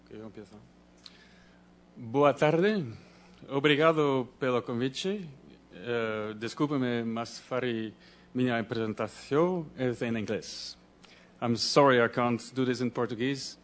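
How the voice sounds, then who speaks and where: A man from Belgium, a Dutch speaker, giving a conference presentation in a halting way, often restarting as he searches for words. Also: mid-range voice.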